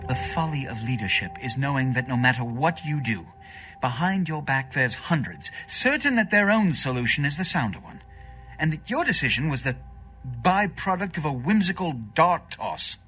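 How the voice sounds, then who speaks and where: Husky, pompous vampire prince. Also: male voice, on the high side, expressive, moderate pace, bright, forceful tone, full character voice. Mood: weary, grandiose. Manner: pompous, oratorical, self-pitying. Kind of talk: video game character acting.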